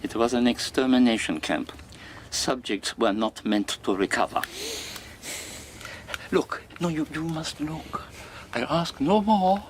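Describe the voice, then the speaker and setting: An actor playing an older fugitive war criminal, courtly, greedy and pleading in a halting radio drama performance. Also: male voice, medium pitch, natural voice. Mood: desperate pleading. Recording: noisy radio drama recording.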